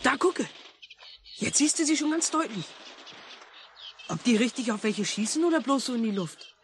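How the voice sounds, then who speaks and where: A woman's voice: a little high, lively intonation, moderate pace, lightly acted. A female performer playing a cheeky, quarrelsome young boy in a 1950s radio play. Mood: excited. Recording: noisy mono 1950s radio recording.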